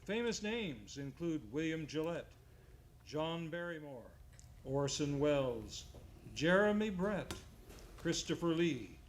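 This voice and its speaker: Male series host for live old-time radio hour. Higher-set voice, scripted, theatrical, playful. Noisy theater recording. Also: very animated, slow pace. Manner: theatrical announcing, playfully comic. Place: theater stage before a live audience.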